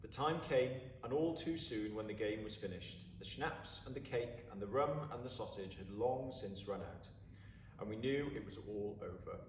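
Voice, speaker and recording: A man reading a story aloud with expressive, deliberate, dramatic phrasing. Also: on the low side. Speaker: story reader. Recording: roomy, distant mic, faint background noise.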